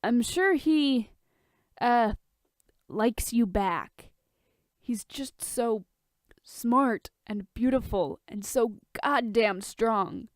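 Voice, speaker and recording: A woman's voice: fairly high pitch, expressive, extremely slow, no room sound, clean studio sound. A woman voicing a tipsy, casual, wisecracking male friend in a story reading. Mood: soothing.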